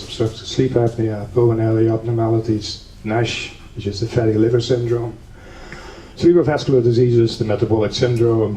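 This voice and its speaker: Low, mellow-voiced Dutch-born lecturer. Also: male voice. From Netherlands. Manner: explanatory, dry humor, steady lecturing with fillers. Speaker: professor giving a conference lecture. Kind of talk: academic lecture. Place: conference hall. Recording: echoey hall, distant mic, faint noise.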